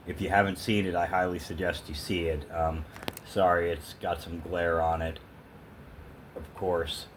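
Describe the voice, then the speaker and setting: A man's voice: deep voice, flat delivery, measured pace, slight background noise. A man talking casually and emphatically to the camera as an opinionated music fan, in a rambling vlog style. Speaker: vlogger showing a thrift store music haul.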